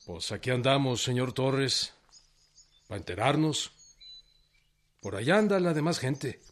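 An actor playing a plainspoken, folksy Mexican peasant leader in his thirties, with a rural accent and husky voice, in a radio drama. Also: medium pitch, expressive, a little slow, lightly acted. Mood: respectful, willing. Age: 30s.

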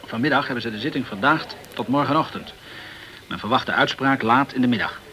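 Male actor as newspaperman, courteous and talkative, mid-pitched voice, radio drama. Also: a little fast, sharp tone, close to an everyday voice, poor audio. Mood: grave, informative. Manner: courteous and chatty, peppered with French words. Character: polite, talkative French journalist in the tropical West Indies. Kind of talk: Dutch radio play acting.

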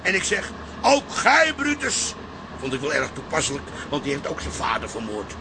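A man's voice: medium pitch, wildly swooping pitch, moderate pace, creaky, noisy background. An actor playing an indignant, boastful old activist father in a radio drama, declaiming self-importantly. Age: older.

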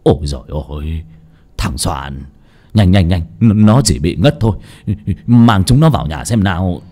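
A man's voice: deep voice, wildly swooping pitch, moderate pace, a little mellow, breathy edge, pitched below the performer's own voice, full character voice. A man voicing a flustered, grumbling old village uncle in a folk tale.

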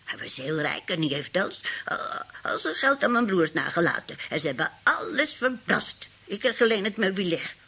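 Husky, scornful old spinster great-aunt. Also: female voice, mid-range voice, very animated, normal speed, few pauses, bright, forceful tone, heavily acted. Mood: bitter, grumbling. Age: older. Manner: indignant, grumbling, outspoken. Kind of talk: radio play acting.

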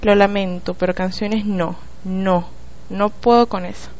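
A woman's voice: medium pitch, fairly even intonation, measured pace, heavy background noise, lightly acted. A woman playing a teasing, mischievous girl prefect in an amateur radio play, sassy and playful. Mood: mocking. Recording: dull lo-fi home recording.

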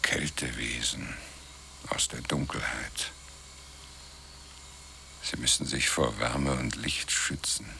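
Thoughtful moon scientist, extremely low gravelly voice, slow, pondering, radio play. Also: male voice, steady tone, pushed and bright, a little hiss or hum, exaggerated voice. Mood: brooding, awed. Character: thoughtful male scientist Jul at a moon research station. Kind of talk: radio drama acting.

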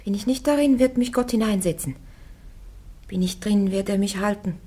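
An actress playing a devout young woman warrior on trial, answering her judges firmly and calmly in a radio drama. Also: medium pitch, normal speed, breathy edge, natural voice. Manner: firm, calm, defiant answers.

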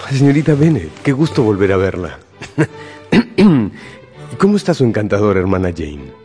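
An actor playing a friendly, eager young gentleman caller in a radio drama. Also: lowish voice, very animated, normal speed, faint music behind.

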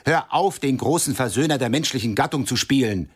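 A man's voice: medium pitch, expressive, brisk, breathy edge. A man acting a cultured, easily offended art lover in a radio play, speaking emphatically and pedantically. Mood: indignant.

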